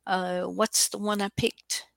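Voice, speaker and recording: A woman's voice: mid-range voice, flat delivery, slow pace, gravelly, fairly bright tone, no room sound, clean studio sound. A woman hosting a radio show, chatting casually in a rambling, hesitant way. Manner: rambling, hesitant, reminiscing delivery. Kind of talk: casual chat.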